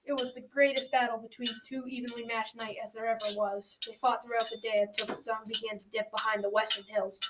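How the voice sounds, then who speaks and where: A woman's voice: a little high, level tone, quick pace, hardly any pauses, natural voice. A teenage girl narrating a folktale as scripted storytelling in an amateur school radio drama. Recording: noisy, distant from the mic.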